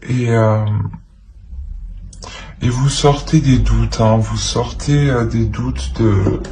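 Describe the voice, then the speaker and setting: Slow, halting man, flat delivery. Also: medium pitch, a little hiss or hum. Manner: hesitant, halting, repeats phrases. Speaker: tarot reader. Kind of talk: improvised tarot reading addressed to the viewer.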